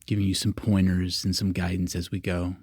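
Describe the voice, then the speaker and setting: A man leading a guided meditation, giving calm, simple, repeated instructions with long pauses between them. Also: low voice, vocal fry, breathy edge, no room sound. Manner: calm, repetitive, unhurried cues with long pauses.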